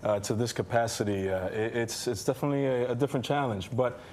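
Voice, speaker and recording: A man's voice: medium pitch, fast talker, a little mellow. A man from the Bronx whose first language is Spanish, speaking earnestly as a TV guest with small hesitations. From the Bronx, New York. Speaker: guest singer. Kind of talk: casual chat. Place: TV studio.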